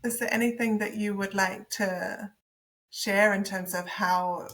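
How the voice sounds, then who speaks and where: A woman co-hosts a relaxed interview, thinking out loud as she goes. Her mid-pitched voice carries a noticeable vocal fry. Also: measured pace. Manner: thoughtful, thinking aloud with fillers. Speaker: co-host. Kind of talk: conversational interview hosting.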